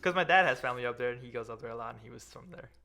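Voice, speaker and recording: A man from Guyana co-hosting a casual podcast, trading jokes and quick wisecracks. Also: medium pitch, gravelly, sharp tone. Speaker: podcast co-host. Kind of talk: casual chat.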